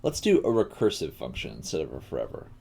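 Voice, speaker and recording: A man teaching coding in a live tutorial, thinking aloud in a halting way with pauses mid-thought. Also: extremely low pitch, huge pitch swings, dark tone. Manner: halting, pausing mid-thought while working. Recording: clear background noise, keyboard typing.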